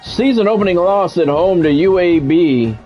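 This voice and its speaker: A man calling live play-by-play of a football video game in measured, short clipped announcer phrases. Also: high-pitched, expressive. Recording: dull lo-fi audio with music behind.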